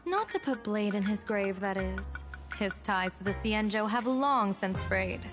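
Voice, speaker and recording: An actress playing an amused, enigmatic general and diviner in a video game, speaking in a composed, formal, theatrical way. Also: female voice, medium pitch, lively intonation, a little slow, close to an everyday voice. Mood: composed, grave. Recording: game music behind the voice.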